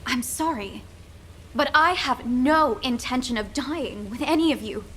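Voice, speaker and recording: Female game fighter, high bright voice, blunt, haughty, defiant. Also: measured pace, slight background noise, poor audio. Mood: scornful, defiant. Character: sharp-tongued, defiant young female fighter. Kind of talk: scripted game dialogue.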